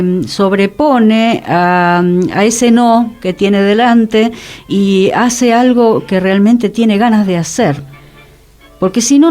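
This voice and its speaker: A woman's voice: mid-range voice, warm tone. A woman with a Rioplatense Argentine accent, talking casually and earnestly as co-host of a radio show.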